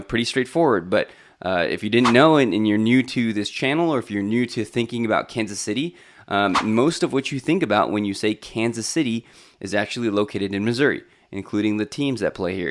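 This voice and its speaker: A man in his thirties from California, talking casually to a vlog camera about his own life, with lots of fillers and restarts. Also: fairly low pitch. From Los Angeles, California. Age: 30s. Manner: chatty, with frequent fillers and restarts. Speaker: YouTube host.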